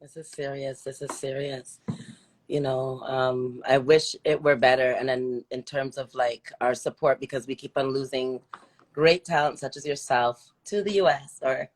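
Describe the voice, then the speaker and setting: A woman's voice: very deep voice, fairly even intonation, normal speed. Encouraging woman hosting a live interview. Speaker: interview host. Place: video livestream call.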